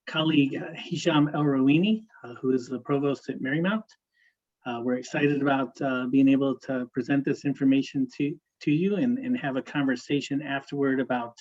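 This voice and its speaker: A man's voice: mid-range voice, a little mellow. A man in his fifties presenting on a webinar panel. He talks in a friendly way, with lots of "uh" fillers and doubled words. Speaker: consultant, panelist. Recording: slightly dull audio.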